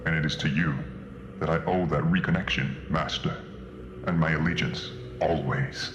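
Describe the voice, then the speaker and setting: Male actor as devoted young villain's apprentice in audio drama: extremely low voice, intense, echoing space. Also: steady tone, normal speed, highs cut off. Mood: fervent, devoted. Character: brooding, fervent young male dark-side apprentice. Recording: echoing voice over faint music.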